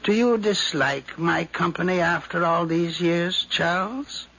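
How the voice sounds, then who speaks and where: Muffled old radio: peevish elderly uncle, creaky, insistent, domineering. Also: male voice, fairly high pitch, measured pace, sharp tone, heavily acted. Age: older. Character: fussy, domineering older uncle with a cigar. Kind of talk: 1950s radio drama acting. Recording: muffled, faintly hissy 1951 radio recording.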